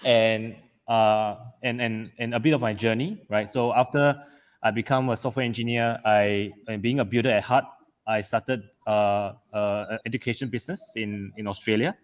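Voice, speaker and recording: A man's voice: lowish voice, fairly even intonation, moderate pace. A man from Malaysia telling his story casually on a video call as a panel speaker, with many fillers and restarts.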